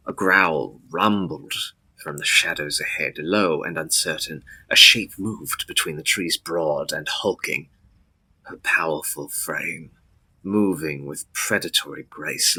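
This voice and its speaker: Male narrator for gothic drama: husky, very forceful and sharp, deliberate, solemn delivery. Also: low-pitched, poor audio.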